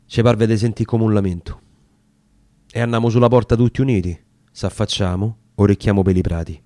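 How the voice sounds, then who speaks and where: Roman guy reciting sad war poem style verse, deep-ish relaxed tone, very solemn and theatrical. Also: fairly quick, dry recording. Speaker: reader of dialect poetry. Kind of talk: dramatic recitation of narrative verse.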